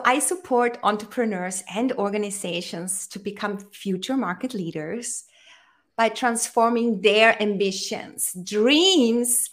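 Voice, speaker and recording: Slow-speaking earnest Austrian-born woman. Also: a little high. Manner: earnest, inspirational, slightly halting non-native phrasing. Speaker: podcast guest, brand strategist. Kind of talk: conversational interview.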